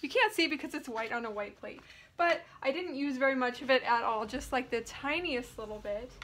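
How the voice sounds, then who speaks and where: A woman's voice: sits high, a little fast, fairly bright tone. A woman in her thirties giving a casual hands-on DIY tutorial indoors, thinking aloud informally as she works. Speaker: DIY furniture painting vlogger. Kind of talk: casual tutorial chat while working.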